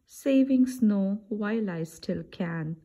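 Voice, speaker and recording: Woman acting out male storybook rabbit, grumbling, complaining, reluctant, unhurried, animated pitch. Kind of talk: children's storybook character voice.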